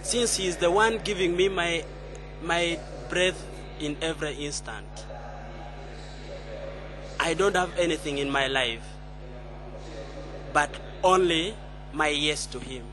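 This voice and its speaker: A woman speaks very slowly and earnestly, in a prayerful tone. A large audience can be heard clearly around her in the hall. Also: low-pitched, a crowd in the background. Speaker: conference speaker. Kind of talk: heartfelt testimony.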